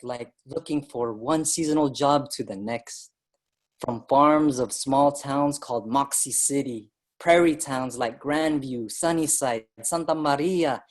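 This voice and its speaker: Young Filipino laborer-narrator played by a man: earnest, expressive, medium-pitched, dramatic first-person staged reading. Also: natural voice. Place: virtual live event, remote reading.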